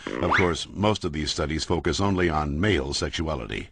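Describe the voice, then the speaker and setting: A man playing a genial, wry science narrator in an educational cartoon, explaining in measured phrases. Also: a bass voice, expressive, vocal fry, fairly bright tone, slightly rough, no room sound, clean studio sound, heavily acted. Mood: wry, explanatory. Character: genial male science narrator moderating a debate. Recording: music behind the voice.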